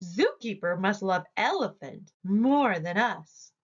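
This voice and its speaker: A woman's voice: medium pitch, lively intonation, takes it slowly, slightly rough, dull audio. A woman voicing a competitive, persuasive female giraffe in a storybook, with a boastful manner. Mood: indignant.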